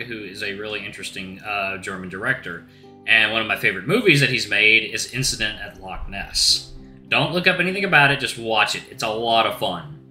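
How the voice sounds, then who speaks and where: Male gaming host, sick and hoarse, very bright tone, animated reading, roomy sound with faint music behind. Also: lowish voice. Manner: casual, says y'all, restarts lines. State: sick, voice deeper than usual. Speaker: Let's Play host. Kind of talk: reading a visual novel aloud.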